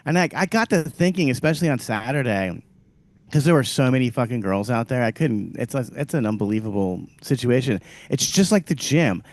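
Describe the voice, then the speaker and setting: A man's voice: mid-range voice, expressive, a little fast, no room sound. A man co-hosting a podcast, talking in crude, rambling casual chat and laughing often. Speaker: podcast co-host.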